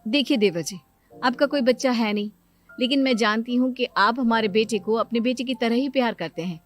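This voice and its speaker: A woman's voice: a little high, normal speed, natural voice. A woman voicing a scheming, persuasive young mother and sister-in-law in a cartoon moral story, with a coaxing, insistent manner. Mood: polite. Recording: music under the voice.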